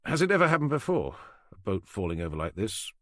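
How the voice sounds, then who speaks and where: A man's voice: on the low side, very animated, quick pace, a bit bright, no echo, studio quality. A man playing a blunt, exasperated detective. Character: frustrated, blunt male detective.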